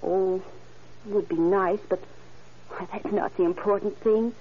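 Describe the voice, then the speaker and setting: An actress playing a sensible, affectionate young bride-to-be in a sweet, tender 1930s radio style. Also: female voice, mid-range voice, fairly even intonation, a little slow, close to an everyday voice. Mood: tender, happy. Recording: noisy, dull old radio recording.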